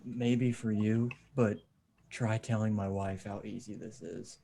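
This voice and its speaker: A man playing a steady, caring father who leads survivors in a comic table read, earnest and encouraging, on a video call. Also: fairly low pitch, measured pace, vocal fry. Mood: casual. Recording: noisy online video call.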